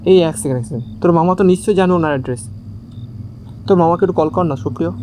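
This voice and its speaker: A man's voice: a little high, racing, dark tone, a little hiss or hum, lightly acted. A man playing an agitated, insistent young friend in an audio drama, urgent and pleading. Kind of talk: Bengali audio story acting.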